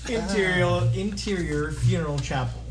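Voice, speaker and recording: A man's voice: high voice, moderate pace, loud music behind the voice. A man reading out stage directions as scripted narration at a table read. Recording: echoey room, distant mic, noisy.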